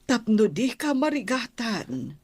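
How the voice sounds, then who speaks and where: A woman's voice: fairly high pitch, sing-song, measured pace. A woman playing a contrite middle-aged Filipino aunt in a radio drama. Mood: kindly. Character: contrite middle-aged aunt, softened toward her niece.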